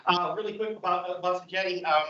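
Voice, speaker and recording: A middle-aged man speaks informally to a group in a very bright, forceful voice pitched a bit high. He is chatty and rambling, with folksy turns. The recording sounds dull and muffled. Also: steady tone, quick pace. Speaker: meetup co-host and presenter.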